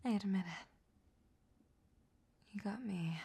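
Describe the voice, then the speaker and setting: Casting: female performer for a sly, vain vampire temptress in audio roleplay; husky whispered voice, unhurried, coy and flirtatious. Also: medium pitch, warm tone, full character voice. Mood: reluctant, huffy. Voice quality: breathy, whispery. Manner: slow, teasing, coy.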